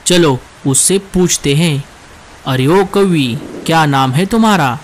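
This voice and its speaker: A man's voice: on the high side, a little slow, close to an everyday voice. Man as a bossy, wary male crow, faint noise. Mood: stern, suspicious. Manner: questioning, stern, authoritative. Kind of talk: Hindi cartoon story dialogue.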